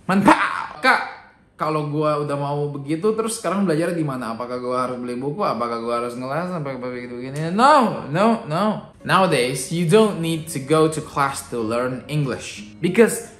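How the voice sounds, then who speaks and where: A man's voice: on the high side, lively intonation, a little fast, a bit bright, faint music behind. Young man, echoey room. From Indonesia. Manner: chatty, explains step by step, switches between English and Indonesian. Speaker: YouTuber and English tutor. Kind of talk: casual teaching vlog.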